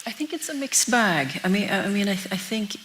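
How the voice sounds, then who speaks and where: A woman's voice: mid-range voice, big pitch swings, a little fast, fairly bright tone. A young woman from Norway, a documentary filmmaker, answering interview questions in an earnest, reflective way with frequent restarts. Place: event venue. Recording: faint hiss.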